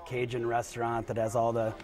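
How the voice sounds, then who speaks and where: A man in his thirties talking casually about restaurants as a guest in a TV news studio, relaxed and hesitant, with lots of fillers. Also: mid-range voice, brisk, a crowd in the background.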